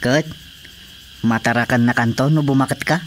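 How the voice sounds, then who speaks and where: A man's voice: mid-range voice, normal speed, a little hiss or hum, natural voice. A man playing a grumbling, jealous husband in an Ilocano radio drama comedy, with an Ilocano accent. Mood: exasperated. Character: grumbling husband, jealous of his wife's cat.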